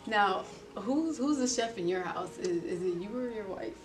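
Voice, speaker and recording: A woman's voice: medium pitch, lively intonation, moderate pace. Woman, podcast host, casual interview, chatty, laughs often, distant from mic, music underneath. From South Florida.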